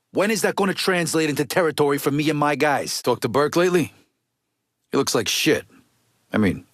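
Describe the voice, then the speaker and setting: An actor playing a gruff, resentful mob lieutenant in a video game, with an American accent and a blunt, streetwise, foul-mouthed way of talking. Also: male voice, on the high side, fairly bright tone, no echo, clean studio sound. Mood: gruff, businesslike. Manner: blunt, streetwise, heavy swearing.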